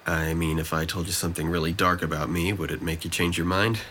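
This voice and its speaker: A man acting a depressed, sardonic young man in an audio drama, with a gravelly voice and a dry, hesitant, self-deprecating manner. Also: low-pitched, fairly even intonation, fast, pushed and bright, slight background noise. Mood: reluctant, dry. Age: young adult.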